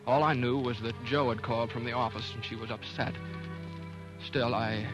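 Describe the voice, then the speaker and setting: A troubled, weak-willed American doctor played by a man in a vintage radio drama, with music behind him. He speaks at a middling pitch, hesitating and stammering as if weighed down by guilt and love. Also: fairly even intonation, moderate pace, natural voice, poor audio. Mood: brooding narration. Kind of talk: 1940s-50s radio drama acting.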